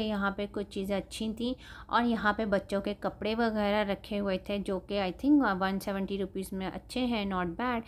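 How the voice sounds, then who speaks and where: Chatty woman with Pakistani Urdu accent. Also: medium pitch. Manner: chatty, frank, judging prices and quality item by item. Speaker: vlogger. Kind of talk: casual shopping haul vlog. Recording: voice-over with loud background music. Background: music.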